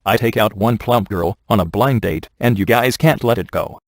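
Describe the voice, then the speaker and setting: Wisecracking ghostbuster type in a game, deadpan, lowish voice, close dry sound. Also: male voice, moderate pace, no room sound. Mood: sardonic. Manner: deadpan, sarcastic, offhand. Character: wisecracking, sardonic adult male ghost hunter. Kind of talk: video game voice acting, comic one-liners.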